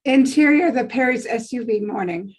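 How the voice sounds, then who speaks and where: A woman reading scripted stage directions in an even, deliberate narrating manner. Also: on the high side.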